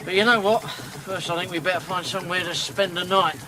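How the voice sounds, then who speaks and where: A man's voice: high voice, fairly even intonation, fairly quick, background noise, natural voice. An actor playing a boozy river boatman, plainspoken and grumbling. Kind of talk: radio drama acting.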